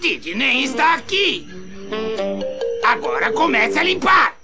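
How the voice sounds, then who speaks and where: A man's voice: very high-pitched, sing-song, measured pace, heavily acted. A man voicing a crotchety old ape in a cartoon dub, scolding and threatening. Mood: angry, scolding. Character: cranky old male ape with a cane. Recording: loud music under the voice.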